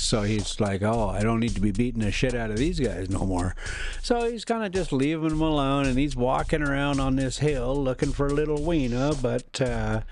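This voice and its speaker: A man's voice: mid-range voice, slight background noise. A man with an informal, folksy manner, hosting and telling stories, moving between casual chat and reading a classic novel aloud. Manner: informal, folksy, lightly profane. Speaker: host and storyteller. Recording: music behind the voice. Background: music.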